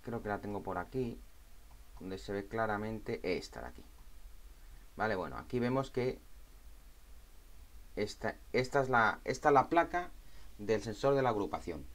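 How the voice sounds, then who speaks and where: A man's voice: fairly low pitch, sing-song, moderate pace, long pauses, fairly bright tone. Spanish man explaining step by step. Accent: Spain. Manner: repeats phrases, frequent check-in tags. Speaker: tutorial instructor. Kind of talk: informal step-by-step technical explanation.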